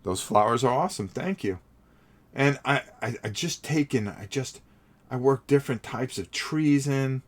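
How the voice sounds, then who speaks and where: A man's voice: medium pitch, lively intonation, moderate pace. A middle-aged man with a cold, chatting to his livestream viewers as he paints, rambling and repeating words. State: sniffly from a cold. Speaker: hobby livestream host. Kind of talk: casual livestream narration while painting.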